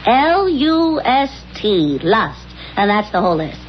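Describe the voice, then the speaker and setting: An actress playing a bossy, scheming wife who is insistent and brisk in a 1970s radio drama. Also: female voice, medium pitch, big pitch swings. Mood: brisk, decisive. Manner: insistent, brisk, thinking aloud. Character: bossy, scheming wife, impatient with her husband. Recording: muffled, noisy old radio recording.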